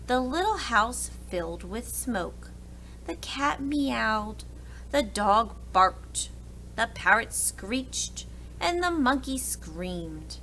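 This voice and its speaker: Animated woman reading to preschoolers, unhurried. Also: fairly high pitch, slight background noise, poor audio. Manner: expressive, deliberate. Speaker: preschool teacher. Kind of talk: children's storybook read-aloud.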